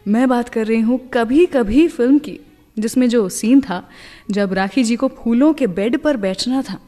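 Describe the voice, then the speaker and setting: A woman's voice: on the high side, a little slow, dark tone, long reverb tail, faint music behind. A woman hosting a radio show, telling chatty anecdotes in a scripted style.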